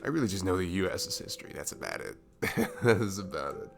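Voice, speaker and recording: A man playing a sweet, goofy, comforting barista in an intimate ASMR roleplay, speaking softly and haltingly with a faint Southern US accent. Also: deep voice, big pitch swings, creaky, music in the background, heavily acted. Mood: goofy, self-deprecating. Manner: soft, halting, chuckling, drifting into near-whispers. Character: cute, goofy, comforting young male barista, sweet and self-deprecating.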